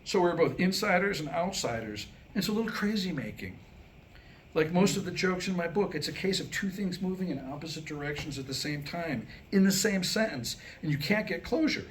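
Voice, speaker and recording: A mature man delivering a scripted comic talk to a live audience, telling stories and jokes with deliberate pauses. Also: high-pitched, lively intonation, a little hiss or hum, low-quality recording. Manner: storytelling, deliberate pauses, acting out dialogue in jokes. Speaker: humorist and author. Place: indoor room, live staged reading.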